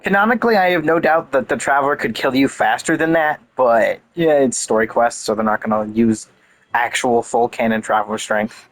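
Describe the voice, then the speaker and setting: A man giving casual, informal play-through commentary as a game streamer, animated and incredulous. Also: mid-range voice, fairly quick.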